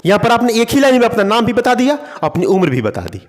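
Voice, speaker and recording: A man teaching English phrases in a classroom lesson, slowly repeating them word by word. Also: very high voice, wildly swooping pitch, in a large hall.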